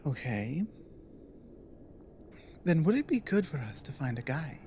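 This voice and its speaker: A woman playing a guarded but loyal female orc warrior in an ASMR roleplay, speaking in a halting, earnest, plainly worded way. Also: deep voice, very animated, warm tone, slight background noise. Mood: wary, practical.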